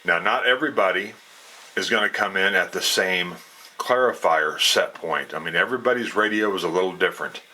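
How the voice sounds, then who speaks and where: A man giving a casual, unscripted explanation as a radio hobbyist, in a plainspoken, folksy way with halting phrasing. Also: low voice, sharp tone, poor audio.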